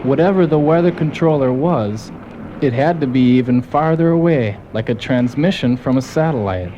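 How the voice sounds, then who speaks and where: A man's voice: mid-range voice, moderate pace, warm tone, dull audio, slight background noise, close to an everyday voice. A man playing a folksy, gung-ho soldier who narrates his team's mission in a scripted audio drama. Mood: earnest storytelling. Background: music.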